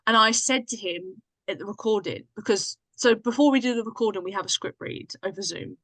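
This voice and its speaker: A woman's voice: medium pitch, brisk, fairly bright tone, no room sound, rough recording. A woman in casual conversation as a podcast guest, talking in an enthusiastic, slangy, emphatic way. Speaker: podcast guest, drama writer and cast member. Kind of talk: casual chat.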